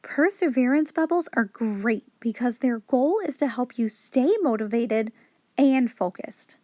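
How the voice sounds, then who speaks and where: High, animated woman reading a children's story. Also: measured pace, no echo, clean studio sound. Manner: clear, instructive storytelling for young children. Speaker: children's story reader, host. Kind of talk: expressive read-aloud of a children's picture book.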